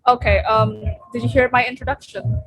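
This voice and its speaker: A woman's voice: a little high, level tone, moderate pace, fairly bright tone, faint music behind, low-quality recording. A young woman from Indonesia, a student ambassador, introducing herself in a friendly, conversational way over a video call microphone. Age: young adult. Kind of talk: casual self-introduction in a webinar.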